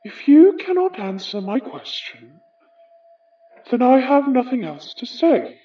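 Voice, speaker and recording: Slow, high-voiced haughty British ghost. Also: female voice, very animated, recorded from a distance, lo-fi sound. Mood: cold, measured. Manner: theatrical, formal, deliberate. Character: haughty, bitter aristocratic ghost of an Edwardian occultist. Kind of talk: horror audio drama acting.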